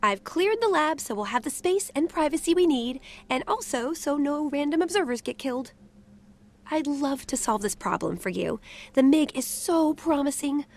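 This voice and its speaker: A woman's voice: high-pitched, expressive, moderate pace, darkish tone. A woman voicing a brash, cocky little gnomish genius inventor in a video game, flippant and condescending. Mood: brisk, flippant. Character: brash, cocky female asura genius golem expert. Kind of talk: video game cutscene voice acting.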